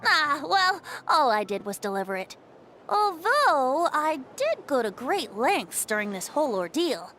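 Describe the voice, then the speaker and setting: A woman's voice: high voice, big pitch swings, a little slow, pushed and bright, soft background music, exaggerated voice. A woman voicing a playful, boyish young male bard in a video game, light and youthful, with a theatrical, teasing lilt. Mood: playfully coy.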